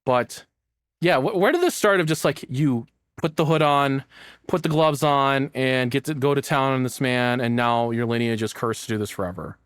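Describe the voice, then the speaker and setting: A man's voice: medium pitch, normal speed, smooth, no echo, clean studio sound. A man giving casual, joking reaction commentary as a video host, rambling and swearing in a flippant way.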